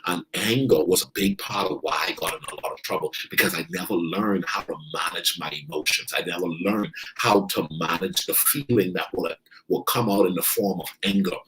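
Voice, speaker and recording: A man's voice: lowish voice, poor audio. Emphatic motivational coach, man. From Bahamas. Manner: emphatic, repeats key phrases. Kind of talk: motivational talk. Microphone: online video stream.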